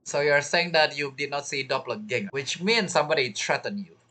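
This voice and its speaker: Low-voiced woman commenting over a game. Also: expressive, a little fast, pushed and bright. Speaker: streamer reacting to a game. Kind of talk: casual playthrough commentary.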